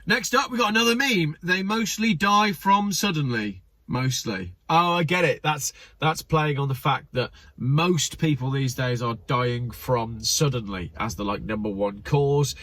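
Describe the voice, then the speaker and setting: A man's voice: mid-range voice, measured pace, fairly bright tone, no echo. A man hosting his channel, reading memes aloud in casual commentary with wry, sarcastic asides and a slightly stuffy, nasal voice. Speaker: channel host.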